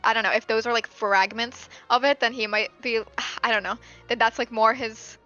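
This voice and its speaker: A young woman chatting casually over gameplay, in halting phrases that often repeat. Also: a little high, steady tone, bright, forceful tone. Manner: hesitant, halting phrasing with repetitions. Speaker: gamer commentator. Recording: dull, lo-fi voice chat with music.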